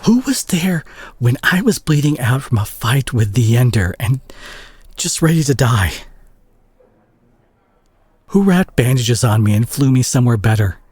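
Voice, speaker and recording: A man playing a protective, guilt-ridden brawler superhero in an intimate audio drama, speaking earnestly with a husky, breathy voice. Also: mid-range voice, big pitch swings, darkish tone, no room sound. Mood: impassioned, reproachful. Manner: earnest, emotional, halting delivery with sighs. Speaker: audio roleplay voice actor.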